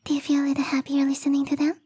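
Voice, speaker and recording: A woman voicing a bubbly, lovestruck young female snow spirit in a soft, breathy ASMR roleplay whisper, coy and rambling. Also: sits high, monotone, very fast, close to an everyday voice. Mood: bubbly, chatty.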